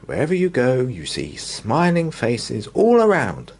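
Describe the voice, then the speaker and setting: A man reading a bedtime story aloud to young children as a storyteller, with measured, drawn-out, expressive phrasing. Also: mid-range voice, vocal fry.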